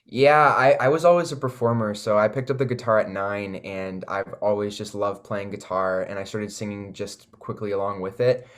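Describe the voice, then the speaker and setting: A man's voice: lowish voice, moderate pace, hardly any pauses. A young man with a Canadian accent chatting casually as an interview guest, laid-back and slangy. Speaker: singer-songwriter guest.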